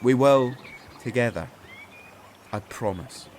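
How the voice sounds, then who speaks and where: An actor playing a smooth-talking, deceitful man with a coaxing, reassuring manner in an audio drama. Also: medium pitch, slow pace, darkish tone, a little hiss or hum, natural voice. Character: smooth-talking mortal man turned godlike, evasive, deceitful. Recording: outdoor boat ambience behind voice.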